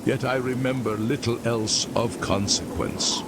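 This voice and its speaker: An actor playing an ancient, weary former priest damned to an endless abyss, speaking slowly and solemnly. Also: male voice, medium pitch, very noisy, lightly acted. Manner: slow, solemn, drawn-out delivery. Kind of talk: game character dialogue. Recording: loud rain and thunder behind.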